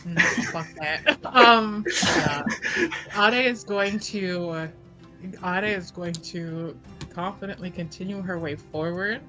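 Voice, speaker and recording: A woman's voice: lowish voice, slow pace, pushed and bright, faint music behind, poor audio. A woman casually playing a tabletop roleplaying game, talking through her character's moves with emphatic, sweary bluntness.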